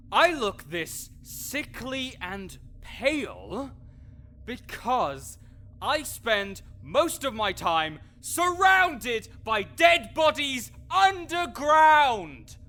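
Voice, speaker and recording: A man's voice: very high voice, sing-song, very slow, sharp tone, full character voice. A man playing a grouchy, scholarly necromancer in an audio roleplay, condescending, pedantic and sarcastic. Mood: indignant outrage. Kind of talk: audio roleplay acting addressed to the listener.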